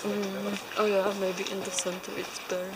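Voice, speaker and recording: A young woman speaks English in a measured, hesitant way, keeping her voice level and flat. She stands beside a companion's phone on a city street. Heavy traffic noise nearly swamps her. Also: mid-range voice. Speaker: co-reviewer. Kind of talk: casual chat. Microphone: phone held by companion. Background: traffic and street ambience.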